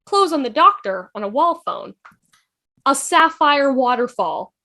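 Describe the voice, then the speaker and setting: High, animated woman reading stage directions. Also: measured pace. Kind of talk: scripted narration in fan table read.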